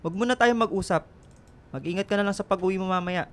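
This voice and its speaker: Radio drama boyfriend, male actor, high-pitched, busy and defensive, quick to turn curt. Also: a bit bright. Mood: irritated, curt. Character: busy, defensive boyfriend absorbed in the family business. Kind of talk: radio drama acting in Tagalog.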